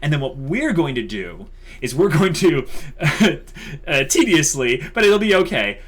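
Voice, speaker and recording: A man's voice: on the high side, big pitch swings, a little slow. Casual man hosting an online channel. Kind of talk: casual chat to viewers.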